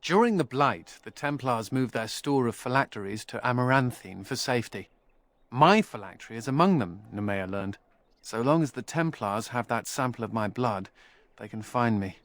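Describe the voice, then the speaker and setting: Casting: male actor as fugitive game mage, middle pitch, wry, flippant but guarded, conversational, close dry recording. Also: expressive, moderate pace, dry recording, natural voice. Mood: wry, uneasy. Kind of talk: video game voice acting.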